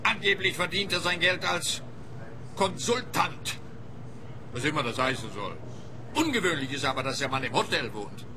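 A fairly high male voice amid busy crowd sound. a radio-play police investigator, pompous and sarcastic, drawing out his words to needle. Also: big pitch swings, moderate pace, long pauses. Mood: smug needling. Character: sardonic, condescending police investigator needling a detective. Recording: busy crowd ambience behind.

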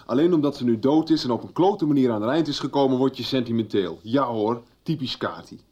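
A man voicing a blunt, sarcastic friend who does not mince words. His voice is husky at an ordinary middle pitch. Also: lively intonation, a little fast, darkish tone, muffled recording, lightly acted.

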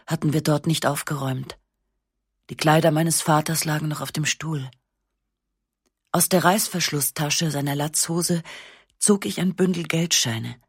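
A woman narrating a literary text in the first person, with a measured, restrained and sober delivery on a close studio microphone. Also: deep voice, slightly rough, dry recording. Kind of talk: literary reading of a memoir-like novel.

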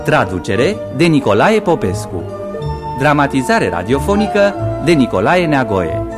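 A man's voice: mid-range voice, big pitch swings. A man announcing a radio play in a scripted, formal style. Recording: loud music behind the voice.

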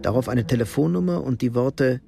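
A man narrating a radio crime drama in a measured, matter-of-fact way. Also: medium pitch, expressive, vocal fry, warm tone, faint music behind. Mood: sober. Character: sober third-person narrator of a crime story.

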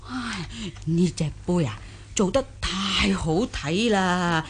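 A woman playing a simple, good-humoured old country granny in a radio drama, with a husky aged voice, folksy exclamations and hesitant musing. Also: lowish voice, takes it slowly, slight background noise. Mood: admiring. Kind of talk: Cantonese radio drama acting.

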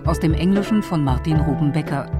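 A woman's voice: deep voice, fairly quick. A woman reading a scripted credits announcement for a radio play as its announcer. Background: music.

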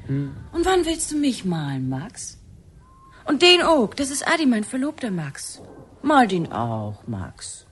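A woman's voice: medium pitch, huge pitch swings, takes it slowly, slight background noise. An actress playing a bold, insistent young woman in a radio drama, coaxing and exclaiming with open emotion. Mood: coaxing, disappointed. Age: young adult.

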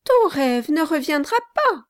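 A woman reading aloud, voicing a gruff, trembling old male gravedigger. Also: high voice, big pitch swings, measured pace, voice pushed up. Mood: gentle. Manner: gruff then gentle, trembling. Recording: dry close audiobook recording.

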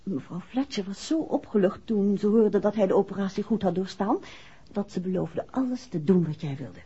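Husky detective's wife, animated radio drama. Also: female voice, medium pitch, normal speed. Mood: calm, confiding. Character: composed, caring detective's wife recounting events to her husband. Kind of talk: 1960s radio play acting. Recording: dull, muffled 1960s radio recording.

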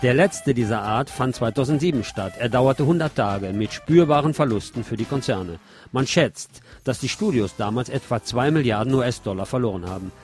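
A man's voice: mid-range voice, animated, normal speed, a little mellow, soft background music. A man presenting a radio magazine as host, reading scripted narration with personal asides.

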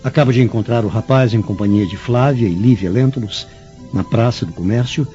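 An actor with a Brazilian accent playing a resigned middle-aged Roman father in a radio drama, measured and placating. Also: medium pitch, warm tone, lightly acted. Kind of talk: melodramatic radio drama acting. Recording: muffled lo-fi radio drama, music behind.

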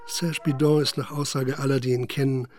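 A man narrating a radio play in a measured, matter-of-fact literary style. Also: mid-range voice, little pitch movement, a little mellow, slight background noise, natural voice. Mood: measured, sober. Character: sober male narrator recounting a village scandal.

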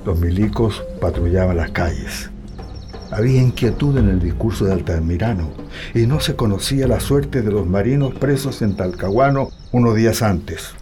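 A man's voice: on the low side, lively intonation, normal speed, lightly acted. A man playing an older man with a Chilean accent, nostalgically recalling his militant youth in a radio drama monologue over music. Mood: grave. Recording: music behind the voice.

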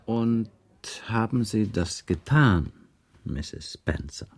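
A man's voice: lowish voice, animated, takes it slowly, a little mellow, exaggerated voice. An actor playing a persistent male police inspector, questioning in a formal, insistent radio drama manner. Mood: probing, insistent. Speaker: actor in a radio crime play. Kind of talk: 1980s radio drama dialogue.

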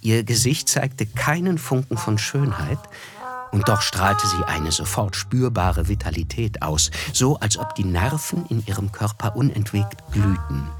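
Casting: observant storyteller, measured literary narration, lowish pitch, music clearly underneath. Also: male voice, animated. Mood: observing. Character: reflective, observant male first-person narrator. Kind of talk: literary scripted narration in radio play.

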